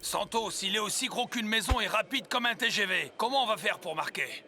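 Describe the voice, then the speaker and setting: Casting: puzzled young cartoon footballer, high voice with creaky edge, comically literal. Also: male voice, lively intonation, a little fast. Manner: puzzled, doubtful. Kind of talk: dubbed cartoon dialogue. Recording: music behind the voice.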